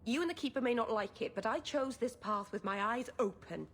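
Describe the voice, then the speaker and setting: A woman's voice: on the high side, fairly quick, a bit bright, slight background noise. An actress playing an earnest, defiant young female exiled mage in a video game, speaking with impassioned emotion. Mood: defiant, bitter.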